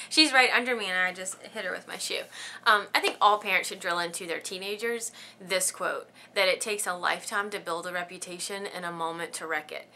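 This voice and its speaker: A woman's voice: on the low side, a little fast, sharp tone. A woman in her thirties chatting casually through a list of questions, giggly and rambling with lots of ums. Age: 30s. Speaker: beauty vlogger. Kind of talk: casual chat answering tag questions.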